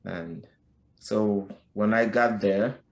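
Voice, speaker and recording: A middle-aged Haitian-accented man speaks slowly and haltingly, often restarting his sentences. His voice sits fairly low. The sound carries a noticeable room echo. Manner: slow, halting, many restarts and fillers. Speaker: podcast guest. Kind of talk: personal life story in conversation.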